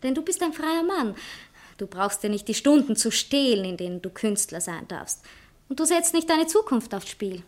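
A woman's voice: high voice, lively intonation, normal speed. An actress playing a proud, sentimental woman novelist, dramatic and self-justifying in a satirical stage play. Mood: proud, competitive. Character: proud, sentimental woman novelist torn between two lovers. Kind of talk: stage satire acting in literary German.

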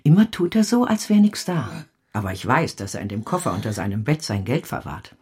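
Radio drama: emotional, plain-spoken old nanny, low husky voice, swooping intonation. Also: female voice, sing-song, a little fast, dark tone, heavily acted. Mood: fond, confiding. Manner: effusive, exclaiming, homely.

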